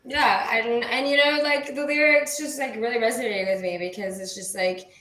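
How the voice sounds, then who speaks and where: A woman's voice: a little high, fairly even intonation, normal speed, pushed and bright. A woman co-hosting a casual music podcast, rambling with lots of fillers. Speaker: co-host. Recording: roomy echo.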